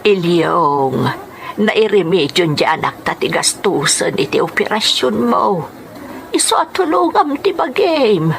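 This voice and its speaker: A woman's voice: mid-range voice, big pitch swings, measured pace, vocal fry, a little hiss or hum. A woman with an Ilocano accent playing a caring, burdened middle-aged wife and mother, soothing and earnest, in a radio drama. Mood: reassuring.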